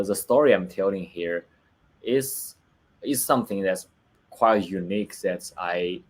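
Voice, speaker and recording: Slow, halting man with a Chinese accent. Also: low voice, a little mellow. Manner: halting, searching for words, many restarts. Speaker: film director. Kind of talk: remote interview. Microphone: video call.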